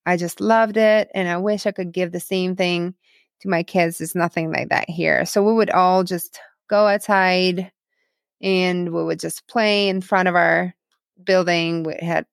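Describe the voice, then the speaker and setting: A woman's voice: fairly low pitch, fairly even intonation, unhurried, gravelly, no room sound, studio quality. A young woman from Ukraine, speaking English with a Ukrainian accent and Russian as her first language, earnestly recalling her past as an interview guest. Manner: earnest, reminiscing, plain non-native phrasing. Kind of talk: personal testimony interview.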